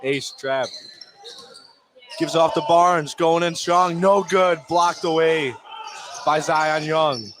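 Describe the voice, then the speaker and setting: A man doing live play-by-play basketball commentary for radio in clipped phrases, lifting into excited calls on big plays. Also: fairly high pitch, takes it slowly, pauses often. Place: indoor basketball gymnasium. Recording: noisy gym ambience. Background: gym noise, bouncing basketball.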